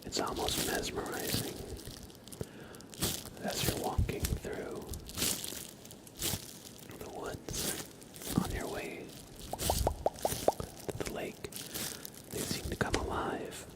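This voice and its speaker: A man doing ASMR on a live stream in a breathy, hushed whisper, speaking gently and softly. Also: slow, long pauses. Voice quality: breathy whisper with soft-spoken stretches. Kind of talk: ASMR, whispered relaxation and guided imagery.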